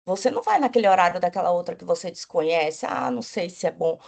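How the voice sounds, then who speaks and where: A woman's voice: on the low side, lively intonation, fast talker, vocal fry, a little mellow. Woman speaking on a panel. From Bahia, Brazil. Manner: enthusiastic, with frequent restarts and "né?" tags. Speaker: panelist, agency executive. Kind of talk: panel talk.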